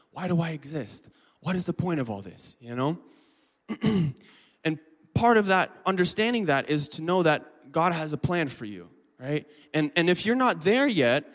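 Young male preacher in his twenties, fairly high voice, relaxed chatty delivery, long hall reverb. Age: 20s. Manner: casual, chatty preaching with many fillers. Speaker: lay preacher. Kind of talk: sermon. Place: church hall.

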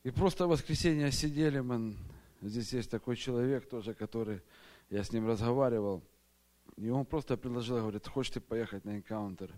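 A man's voice: medium pitch, brisk, darkish tone. A man giving an earnest, grateful church testimony in plain everyday words. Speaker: retreat participant giving testimony.

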